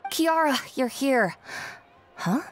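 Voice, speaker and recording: An actress playing a guarded, low-key female shopkeeper in a video game, unhurried and a little resigned. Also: expressive. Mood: hesitant. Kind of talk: video game character dialogue.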